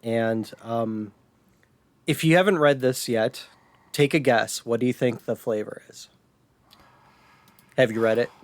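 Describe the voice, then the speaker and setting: An American man chatting casually as a podcast host, somewhat halting when he describes things. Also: mid-range voice, no echo. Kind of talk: casual podcast chat about retro gaming.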